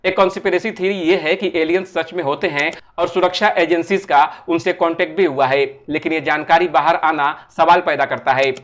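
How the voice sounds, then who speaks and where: Higher-pitched man narrating a scripted video essay. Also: steady tone, a little fast, few pauses, a bit bright. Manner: explanatory, poses rhetorical questions. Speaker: film review narrator.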